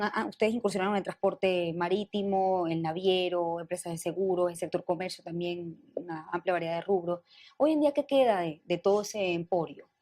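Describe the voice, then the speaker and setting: Venezuelan woman interviewer, lower-pitched voice. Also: a little mellow. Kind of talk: broadcast interview.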